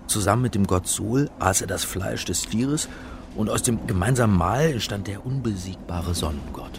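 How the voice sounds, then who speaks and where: An actor playing an earnest, uneasy middle-aged academic in a radio drama, speaking in a measured way as narrator and in dialogue. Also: male voice, lowish voice, expressive, background noise. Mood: earnest, explaining. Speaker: actor and first-person narrator.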